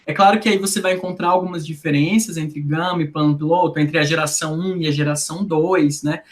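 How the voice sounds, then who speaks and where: A woman from Brasília with a Brasília accent, a linguistics researcher explaining in a conversational interview, didactic and full of tag questions. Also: fairly low pitch. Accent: Brasília Portuguese. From Brasília, Brazil.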